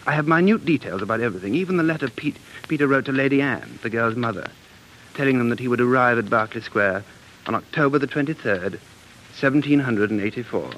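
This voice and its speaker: A man's voice: medium pitch, quick pace, a bit bright, a little hiss or hum, natural voice, poor audio. An actor playing an earnest, dreamy man who is obsessed with the past, narrating intensely in 1940s radio drama style. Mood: eager, absorbed. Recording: vintage 1940s radio broadcast with music.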